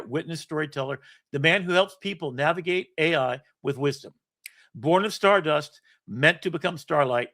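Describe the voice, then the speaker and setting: A man's voice: on the high side, fairly bright tone. A man in his sixties hosting a show, casually walking through a screen-shared document in a rambling, self-deprecating way with the odd curse. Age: 60s. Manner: rambling, self-deprecating, swears now and then. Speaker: host. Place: home setup with an iPad.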